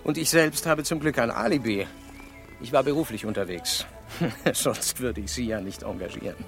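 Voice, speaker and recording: An actor playing a self-assured, status-conscious industrial executive in a radio play, brisk and formal with a haughty edge. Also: male voice, medium pitch, creaky, fairly bright tone, soft background music. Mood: self-assured, defensive.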